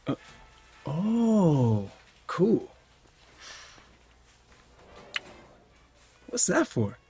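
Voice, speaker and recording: A man acting a protective, touchy high school athlete in an audio drama, speaking in a casual, clipped way. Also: sing-song, very slow, dark tone, breathy edge, faint music behind, exaggerated voice. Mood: pleasantly surprised.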